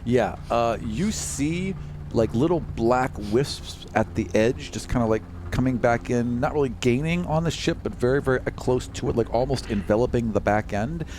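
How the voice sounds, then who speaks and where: A man's voice: mid-range voice, moderate pace, noisy background. A man narrating a tabletop role-playing game as game master, conversational and descriptive, feeling for his phrasing as he goes. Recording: loud music bed under the voice. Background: background music.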